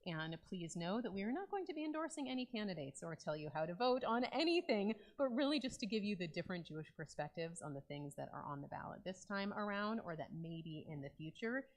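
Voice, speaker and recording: A woman's voice: mid-range voice, very animated, fast, few pauses. A woman clergy member giving informal remarks to her congregation during a Shabbat service, talking conversationally with frequent ums. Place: synagogue sanctuary.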